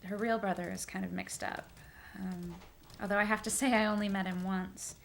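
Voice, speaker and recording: A woman acts out an easygoing young woman with a creaky, mid-pitched voice. She talks casually and matter-of-factly with small hesitations, over faint room noise. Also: fairly even intonation, moderate pace, natural voice. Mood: unsure. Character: easygoing young city woman recounting events to interviewer.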